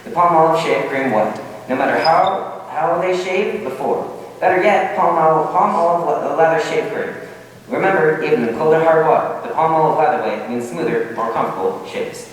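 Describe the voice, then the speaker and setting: A man's voice: a little high, normal speed. A man delivering a scripted old-time radio commercial as an announcer. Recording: echoey hall from far off, faint hiss.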